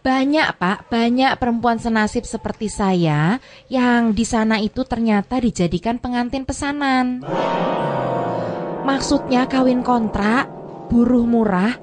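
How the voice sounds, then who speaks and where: Frank, folksy migrant worker back home played by a woman, slightly high voice, emphatic drama delivery. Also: measured pace, music in the background, lightly acted. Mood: emphatic, aggrieved. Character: plain-spoken returned migrant worker, wronged but feisty. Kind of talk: scripted drama dialogue.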